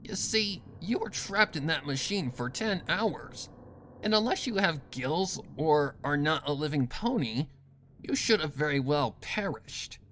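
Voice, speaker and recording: Give a cold, sadistic mare villainess as a narrator puts her on for a dark story reading. She taunts slowly and savours her cruelty with sinister relish. There is faint noise behind the voice. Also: mid-range voice, animated. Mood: mocking, gloating. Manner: taunting, drawn-out, sinister delivery.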